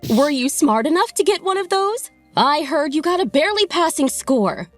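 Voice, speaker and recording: A woman's voice: high-pitched, sing-song, moderate pace, soft background music. A woman acting an outspoken, indignant wife and mother in a dubbed manga drama.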